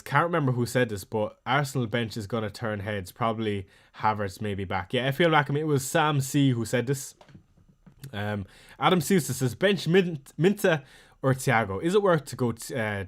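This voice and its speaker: A man with a thick Irish accent hosting a casual fantasy football live stream, reading viewer messages aloud and answering each one quickly. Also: mid-range voice, expressive.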